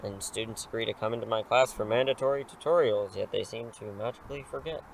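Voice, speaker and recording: A man's voice: on the low side, fast talker, creaky, a little hiss or hum, rough recording. A man playing an eager, cocky English teacher who is secretly a superhero, breezy and persuasive, in an audio drama. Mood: easygoing.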